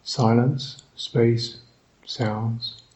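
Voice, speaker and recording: A man guiding a meditation as a Buddhist teacher, speaking reflectively in short, halting phrases with long pauses. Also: medium pitch, level tone, darkish tone, muffled recording. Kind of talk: guided meditation, unscripted and reflective.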